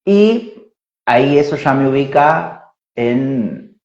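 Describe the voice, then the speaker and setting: A man in his forties, a spiritual teacher, explains ideas slowly and reflectively, thinking aloud with fillers and tag questions. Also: mid-range voice, expressive, darkish tone. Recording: roomy, dull lo-fi sound.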